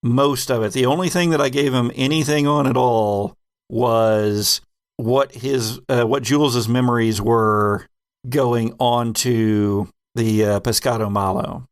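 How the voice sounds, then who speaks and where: Man, mid-range voice, dry sound, relaxed conversational chat, game master. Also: mid-range voice, measured pace, dry recording. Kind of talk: casual retrospective chat.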